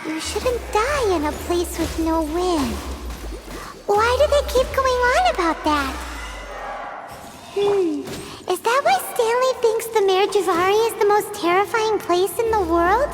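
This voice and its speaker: A tiny, shrill fairy companion who is blunt and easily exasperated. Her voice sits extremely high and bounces around lively. Loud game music plays behind her. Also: measured pace. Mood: puzzled. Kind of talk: video game dialogue.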